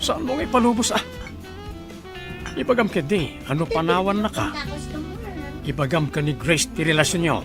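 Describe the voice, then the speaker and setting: A woman's voice: lowish voice, animated, moderate pace, long pauses, over music. A woman playing a cunning, bossy accomplice in a radio drama. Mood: urging.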